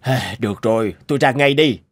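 A man's voice: medium pitch, fairly quick, close to an everyday voice. A man voicing a busy, grumbling male research scientist in a cartoon, with a harried manner. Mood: distracted. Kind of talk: cartoon voice acting.

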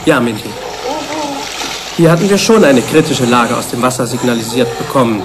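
An actor playing a defensive, grieving research psychologist in a German radio drama, explaining in a measured way. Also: male voice, mid-range voice, lively intonation, noisy background, lightly acted. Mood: explanatory, subdued.

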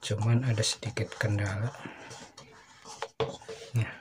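A man with a low voice talks through a hands-on build in a steady, level tone, explaining each step as he goes. Clear hiss and hum sit under his voice in a homemade recording. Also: takes it slowly. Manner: plain, explaining while working with his hands. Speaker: DIY camping channel host. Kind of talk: casual step-by-step craft tutorial. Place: indoors at a work table.

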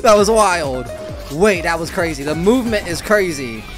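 A man's voice: high voice, lively intonation, normal speed. A man, doing casual, slangy reaction commentary and often repeating himself. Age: 20s. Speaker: reaction video host. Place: small room indoors. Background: faint trailer music.

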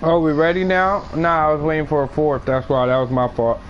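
A man's voice: on the high side, steady tone, a little fast, muffled recording, a little hiss or hum. A young man chatting in a laid-back, slangy and profane way with friends during an online game. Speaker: friend in party chat. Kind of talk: casual gaming chat.

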